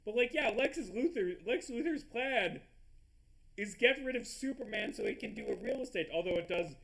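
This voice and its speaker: A man with a stuffy nose rambling excitably in casual commentary over a game recording, swearing often and tripping over his words. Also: sits high, normal speed, creaky. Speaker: gaming video host.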